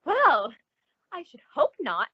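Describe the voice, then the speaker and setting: Actress as gushing, very high-pitched radio socialite friend. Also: female voice, wildly swooping pitch, dry recording, dull audio, exaggerated voice. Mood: eager, affectionate. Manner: effusive, affectionate, drawn-out emphasis. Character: Helen, gushing, solicitous, sociable woman, an old friend. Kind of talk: old-time radio suspense acting.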